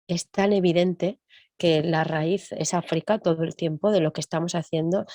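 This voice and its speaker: Low, mellow-voiced woman musing aloud. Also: dry recording. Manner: reflective, thinks aloud with frequent tag questions. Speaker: music teacher and percussionist guest. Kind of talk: conversational interview.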